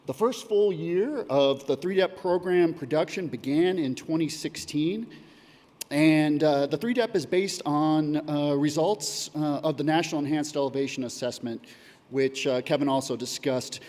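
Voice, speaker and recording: A man's voice: fairly high pitch, moderate pace, darkish tone, long reverb tail. A man giving a prepared conference presentation as a coordinator, reading steadily with occasional fillers. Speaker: data acquisition coordinator. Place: conference hall.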